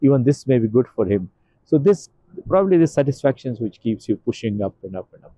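An older man talks earnestly in a stone courtyard outdoors, leaning on repetition to drive each point home. His voice is mellow and warm, picked up dry and close on a lapel mic. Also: mid-range voice, very animated, a little fast, no echo, rough recording. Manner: earnest, emphatic, repeats himself for emphasis. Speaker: interview guest. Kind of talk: outdoor walking interview.

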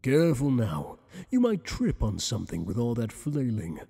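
A man playing a flirtatious, possessive tentacled alien boss in an ASMR roleplay, speaking in a teasing, courtly, deliberate way with long echoing reverb. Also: medium pitch, huge pitch swings, creaky, mellow, slight background noise. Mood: seductive, coaxing.

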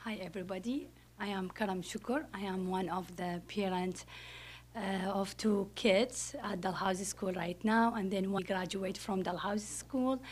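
A woman in her forties from Iraq, speaking English, giving a personal speech at a podium in a school classroom, often pausing to search for words. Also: medium pitch, slight background noise. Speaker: parent speaker. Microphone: podium microphone.

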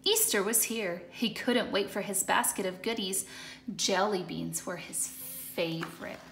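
A woman reading a picture book aloud to children in an expressive, deliberate way, recorded in an echoey room. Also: medium pitch, creaky, a little hiss or hum. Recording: echoey hard-floored room.

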